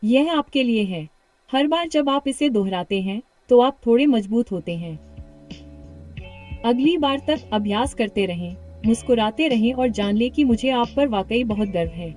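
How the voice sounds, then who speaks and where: A woman's voice: fairly high pitch, animated, faint music behind. Upbeat British woman coaching practice. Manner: warm encouragement, slow repeated practice sentences. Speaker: English speaking coach. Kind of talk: guided shadowing practice lesson.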